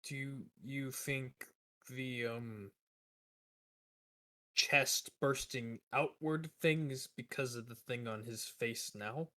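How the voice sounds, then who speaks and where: Slow-talking man with a bright voice. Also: mid-range voice, fairly even intonation. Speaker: player in a tabletop role-playing game. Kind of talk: tentative in-character questioning.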